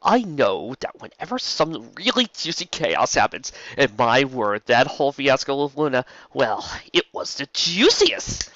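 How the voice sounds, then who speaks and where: A man's voice: medium pitch, big pitch swings, a little slow, few pauses, sharp tone, no echo, dull audio. A man voicing a mocking, serpentine chaos spirit with a sarcastic, drawling, theatrical delivery in a fantasy story. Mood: gleeful, theatrical.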